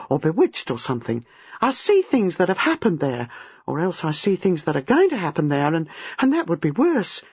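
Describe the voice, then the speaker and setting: A woman reading a storybook, playing a distraught young married woman who is frightened, halting and agitated. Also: medium pitch, breathy edge, dry recording, lo-fi sound, pitched above the performer's own voice. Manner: agitated, halting, rushing in bursts.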